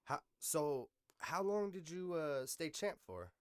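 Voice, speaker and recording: A man's voice: fairly high pitch, sing-song, slow pace, clean tone, no echo, clean studio sound. A man hosting a podcast, asking questions in an informal, slangy way. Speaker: podcast host. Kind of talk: casual interview chat. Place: close-miked podcast recording.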